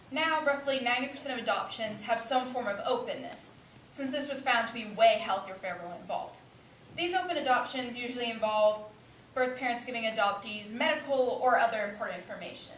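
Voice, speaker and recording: A woman's voice: on the high side, expressive, fairly quick, a bit bright. A woman delivering scripted, informational narration on stage. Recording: echoey room, distant mic, faint noise.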